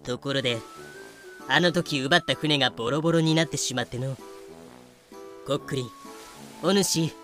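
A man's voice: mid-range voice, normal speed, pauses often, a bit bright, faint music behind, lightly acted. A man in a fan audio drama playing a composed, sly pirate captain who talks in an archaic elder style.

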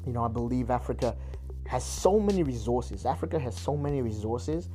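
A man's voice: mid-range voice, animated, a little fast, warm tone, faint music behind. A man from Zimbabwe hosting a solo podcast, talking earnestly with many restarts and repeated words. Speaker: podcast host. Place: United Kingdom.

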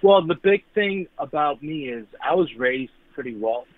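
A man's voice: fairly high pitch, animated, moderate pace. Hesitant male caller on a phone line. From New York. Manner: hesitant, many restarts and fillers. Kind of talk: call-in radio talk.

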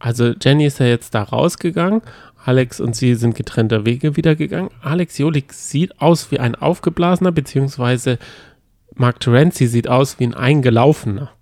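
A man's voice: medium pitch, fairly quick, a little mellow, no echo, studio quality. A man co-hosting a casual podcast with playful, teasing banter. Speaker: podcast co-host. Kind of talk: casual banter recapping reality TV.

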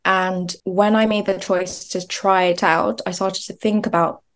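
A woman's voice: medium pitch, steady tone, measured pace. A young woman from Nigeria talking chattily as a podcast guest, often laughing and restarting words. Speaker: guest, business founder. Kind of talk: podcast interview.

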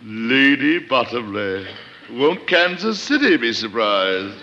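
A man's voice: medium pitch, big pitch swings, takes it slowly, sharp tone, full character voice. A man playing a sardonic, pompous old wit. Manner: dry, sardonic, mocking. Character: acid-tongued, pompous man confined to a chair, gossip-hungry. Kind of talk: 1940s radio comedy acting. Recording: muffled old radio broadcast with faint hiss.

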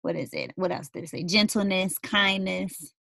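A young woman in casual conversation with her mother, talking thoughtfully with many pauses and fillers. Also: fairly low pitch, gravelly, fairly bright tone, no echo, studio quality. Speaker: daughter interviewing her mother.

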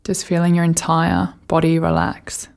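A woman leading a guided meditation, giving gentle, soothing instructions with unhurried pauses. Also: low-pitched, very animated.

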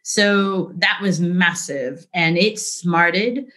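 A middle-aged woman speaking as an interview guest, telling candid work stories in a lively, conversational way, often asking "right?". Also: fairly low pitch, drawn-out words. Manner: animated, frequent "right?" and "like", laughs easily. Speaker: interview guest, business leader.

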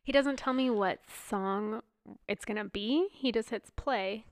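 Stuffed up lady cohosting a podcast, kinda high voice, gravelly, dry sound. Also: measured pace, dry recording. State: stuffed up nose. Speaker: podcast co-host. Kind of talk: casual chat.

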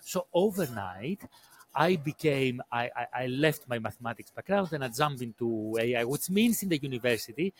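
A man from Greece with a Greek accent, a podcast guest telling his career story in a halting way, often repeating words and restarting sentences. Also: medium pitch, expressive, slight background noise. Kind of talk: casual interview storytelling.

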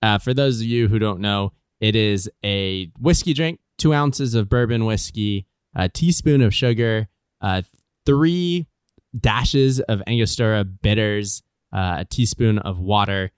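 Brief: male podcast host, lowish smooth voice, dry close sound, chatty and teasing. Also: unhurried, no room sound. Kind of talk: casual podcast chat. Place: warm guest room at home.

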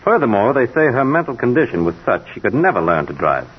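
An actor playing a stern, persistent police detective with a deliberate, formally polite manner in an old-time radio drama. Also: male voice, medium pitch, sing-song, husky. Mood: insistent. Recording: muffled, noisy old radio recording.

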